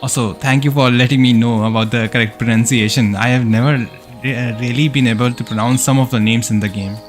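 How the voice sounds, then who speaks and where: A man casually commenting while streaming a game, chatting with viewers and thinking out loud. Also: medium pitch, fairly even intonation, a little fast, soft background music. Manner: chatty, answers chat, thinks aloud with fillers.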